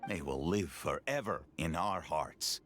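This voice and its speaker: A man playing a steady, consoling mature warrior in a video game, solemn and encouraging. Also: very deep voice, a little slow, soft background music, exaggerated voice.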